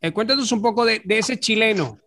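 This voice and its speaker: A man's voice: high-pitched, brisk, sharp tone. A man hosting a talk show, playful and jokey as he greets his guest. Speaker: show host. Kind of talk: casual interview hosting.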